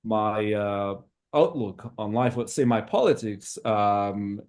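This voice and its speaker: A man from Zimbabwe in his thirties, a podcast guest who talks in a hesitant, reflective way with many pauses. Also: lowish voice, darkish tone. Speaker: interview guest. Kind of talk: casual interview talk.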